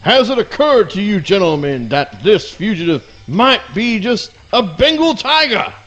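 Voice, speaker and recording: A man plays a self-important cartoon hound with airs of a great detective. The voice is high, theatrical and swooping, in a slightly dull recording of a comic radio play. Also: sing-song, measured pace, fairly bright tone, faint music behind, full character voice. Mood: pompous. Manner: pompous, grandiloquent, theatrical.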